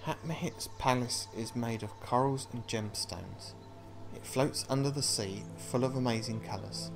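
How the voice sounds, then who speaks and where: A man playing a video game, reading its text aloud and thinking out loud with casual asides. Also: mid-range voice, normal speed, creaky, music in the background. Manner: thinking aloud between read passages. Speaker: video game player and commentator.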